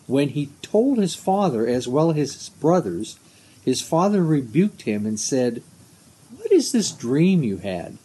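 A man in his seventies reading scripture aloud in a measured way, recorded outdoors on a device at arm's length. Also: on the high side, very animated, dark tone, a little hiss or hum, poor audio. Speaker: lay scripture reader. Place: outdoors beside a brick house under trees.

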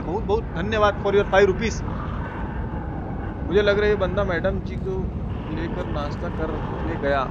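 A man's voice: very high voice, background noise. A man with a Bihari accent giving colloquial, slangy live gameplay commentary, playfully repeating his phrases. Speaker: game streamer.

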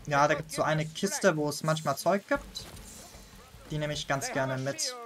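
Chatty German man gaming, higher voice. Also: big pitch swings, music in the background. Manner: chatty, laughs often, quick asides. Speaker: gaming channel host. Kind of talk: casual gameplay chat.

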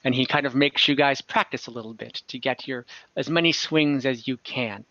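A man narrating an online tabletop game as game master, casual, halting and lightly amused, improvising as he goes. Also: mid-range voice, pushed and bright, no room sound. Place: at home indoors on a group video call. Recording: slightly dull video-call audio.

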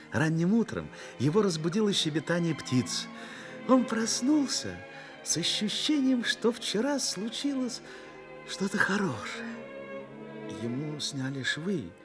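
A man narrating a Soviet radio play in a literary, dramatic style, with measured phrasing and expressive pauses. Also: on the high side.

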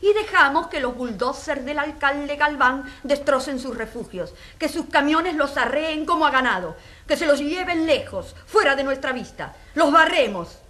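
A woman's voice: sits high, expressive, normal speed, sharp tone. An actress playing an earnest, impassioned woman prosecutor addressing a jury in a radio drama, with emphatic rhetorical delivery. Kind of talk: radio drama courtroom speech.

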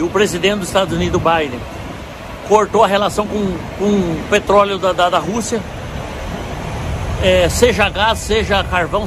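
A truck driver in his forties vlogging at a fuel pump with his phone held at arm's length, talking emphatically to his viewers. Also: sits high. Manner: indignant, emphatic, talks to viewers as "galera". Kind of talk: casual vlog to camera. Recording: phone video with loud outdoor traffic noise.

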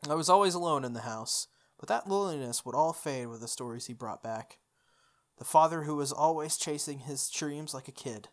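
A playthrough host reading visual novel text aloud in a playful way, with casual asides. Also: mid-range voice. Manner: playful, interjects informal comments on the script. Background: game music.

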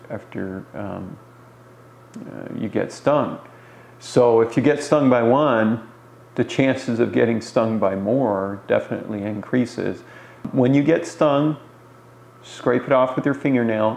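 A man with a fairly low voice and plenty of creak explains things informally to beginners. He talks in loose phrases with pauses as he thinks. The small room adds clear echo, with a faint noise underneath. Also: darkish tone. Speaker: beekeeper answering beginners' questions. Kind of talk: informal explanatory talk to camera. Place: small bare room indoors.

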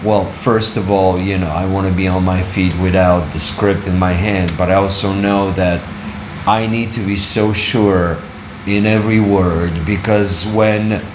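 A low-voiced man speaks on a panel in a lively, laughing way. His voice carries the echo of a wood-panelled room, with a clear steady hum underneath. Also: unhurried. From Bulgaria. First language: Bulgarian. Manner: animated, laughing, conversational. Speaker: actor on a panel. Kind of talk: panel discussion.

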